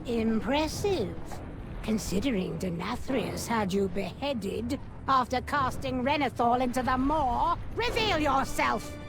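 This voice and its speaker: An actress playing a stern, vengeful stone matriarch in a video game cutscene, measured and commanding. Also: female voice, a little high, lively intonation, background noise. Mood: stern, suspicious.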